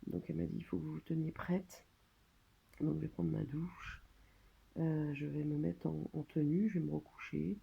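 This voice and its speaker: A French woman speaking casually and hesitantly, as in a personal video diary, pausing and trailing off between phrases. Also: deep voice, level tone, gravelly, breathy edge, highs cut off. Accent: French, from France. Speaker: vlogger documenting own surgery stay. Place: hospital room.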